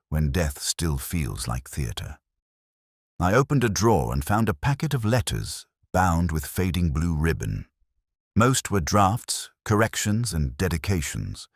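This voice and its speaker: A weary, cultured police inspector played by a man narrates a detective drama in an extremely low, husky voice. He is brooding and measured, with literary phrasing. The sound is close and dry. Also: no room sound, full character voice. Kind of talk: scripted first-person detective drama narration.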